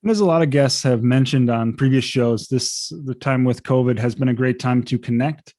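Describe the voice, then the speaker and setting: A man co-hosting a podcast, introducing and questioning a guest in a casual, relaxed way. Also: medium pitch, normal speed, dry recording, studio quality. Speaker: podcast co-host. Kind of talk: podcast interview.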